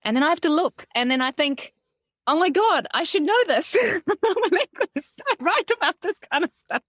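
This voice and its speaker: A woman who is a non-native speaker of English, talking as a linguist guest in a relaxed chat, with fillers and laughter. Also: very high voice, normal speed, dry recording, clean studio sound. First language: not English. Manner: chatty, laughing, lots of fillers. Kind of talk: casual chat.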